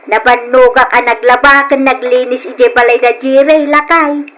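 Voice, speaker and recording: A nagging older mother-in-law in an old-style radio drama. Her voice is high and piercingly bright, full of complaint and protective fussing over her son. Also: fairly even intonation, measured pace. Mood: disapproving, complaining.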